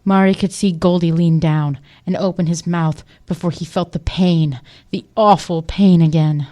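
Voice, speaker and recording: A woman narrates an audio drama from a script. Her voice is dark and mellow, pitched slightly low. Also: steady tone, normal speed, slight background noise.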